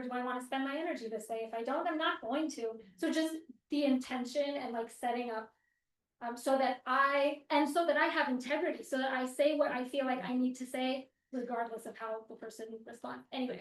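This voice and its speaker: A woman hosting a group discussion, talking quickly and casually with many "like" fillers. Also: sits high, steady tone. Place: meeting room with a group. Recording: echoey room from far off.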